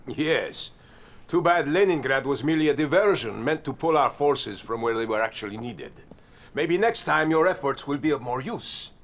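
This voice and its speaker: Actor as scornful Russian general, dramatically swooping voice. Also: male voice, medium pitch, expressive, moderate pace, natural voice. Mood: sarcastic. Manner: sardonic, blustering, disdainful. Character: stern, scornful Soviet army general. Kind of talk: dramatic video game cutscene acting.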